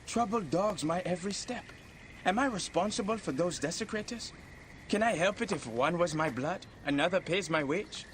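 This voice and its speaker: An actor playing a boastful, cheeky thief in a video game, telling tall tales in a theatrical, self-dramatizing way. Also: male voice, high voice, expressive, moderate pace, slight background noise. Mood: indignant, wheedling. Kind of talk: video game cutscene acting. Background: music.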